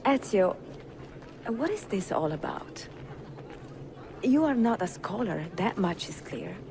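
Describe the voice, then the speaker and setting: A woman's voice: medium pitch, big pitch swings, slow pace, creaky, background noise, heavily acted. An actress playing a cultured, playful young Italian woman in a video game, articulate and curious, with an Italian accent. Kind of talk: video game cutscene acting. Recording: music behind the dialogue.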